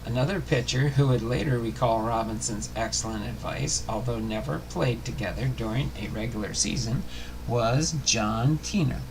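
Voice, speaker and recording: A man reading a scripted sports history narration aloud, haltingly, often stumbling and correcting himself. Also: mid-range voice, vocal fry, noisy background. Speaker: host of a sports history show. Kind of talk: scripted narration read aloud, baseball biography.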